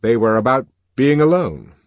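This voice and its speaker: A man's voice: mid-range voice, normal speed, dry recording, voice pushed up, close to an everyday voice. A man playing a buoyant, reckless male lecturer and poet in an audiobook, with an amused, emphatic way of talking. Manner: animated, emphatic, amused storytelling. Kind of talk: audiobook character dialogue.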